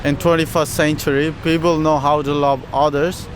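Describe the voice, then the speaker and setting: A man talks in an easy, philosophical way, pausing to think. His voice sits a little high for a man. Faint traffic hums in the outdoor air around him. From Nepal. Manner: calm, philosophical, unhurried. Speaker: interviewee, chef. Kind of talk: casual street interview.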